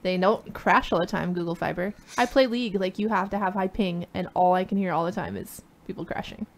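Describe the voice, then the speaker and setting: Woman, small light voice, high, opinionated, casual podcast chat. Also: brisk. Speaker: podcast co-host.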